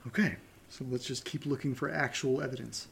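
A man's voice: medium pitch, steady tone, fairly quick, creaky, slight background noise, close to an everyday voice. A man playing a skeptical, bossy, sensible amateur investigator with a blunt, matter-of-fact delivery in an audio drama. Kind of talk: naturalistic horror-comedy audio drama dialogue.

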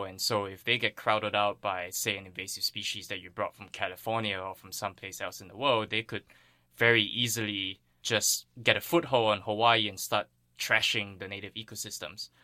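Bright-voiced man explaining, fairly low pitch. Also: no echo. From Singapore. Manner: earnest, thoughtful explaining. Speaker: interviewed plant ecologist. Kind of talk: explanatory interview answers.

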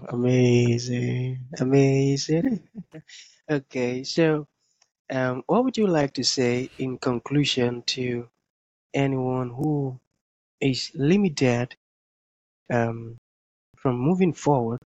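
A man hosting an interview, asking slow, halting questions and often answering with "mm" and "amazing.". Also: medium pitch, darkish tone, no echo, dull audio. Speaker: interview host. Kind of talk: casual interview conversation.

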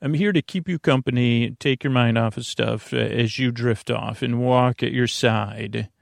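A male podcast host with a gravelly, creaky voice rambles through an improvised bedtime monologue. He is halting, reassuring and self-deprecating, recorded dry and close with no room sound. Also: medium pitch, no echo.

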